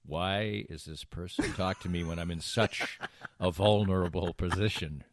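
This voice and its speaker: A man's voice: sits low, steady tone, moderate pace, no echo, studio quality. A middle-aged American man hosting a podcast, bantering casually and genially with a wry streak. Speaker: podcast host. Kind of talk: casual banter over beers.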